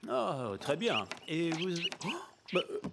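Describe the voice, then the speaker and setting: Folksy cartoon dad, very animated. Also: male voice, a little high, slow, gravelly, heavy background noise, full character voice. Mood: curious, genial. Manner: folksy, quaint exclamations. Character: cheerful doting dad who sings while cooking. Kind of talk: cartoon voice acting. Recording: loud music behind.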